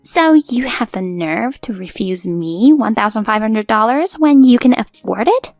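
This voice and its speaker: A woman's voice: a little high, animated, measured pace, darkish tone, dry recording, clean studio sound, soft background music. A woman voicing a greedy, bullying older mother-in-law, haughty and scolding, in a narrated story. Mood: scornful, indignant. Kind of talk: scripted story dialogue.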